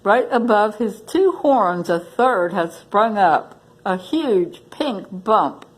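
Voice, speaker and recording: A woman's voice: mid-range voice, lively intonation, slow, low-quality recording. A woman reading a children's storybook aloud as a library story reader, expressively addressing young listeners.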